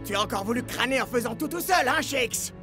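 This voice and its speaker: A cheeky, sarcastic young teammate from a cartoon. His voice is very high and bounces around lively, with music under it. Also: brisk. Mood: teasing. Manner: teasing, sarcastic. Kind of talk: French cartoon dub.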